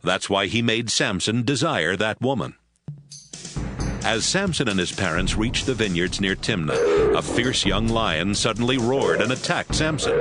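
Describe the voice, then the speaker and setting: A man narrating a Bible story in a steady, storytelling read. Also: fairly low pitch. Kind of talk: scripted scripture narration.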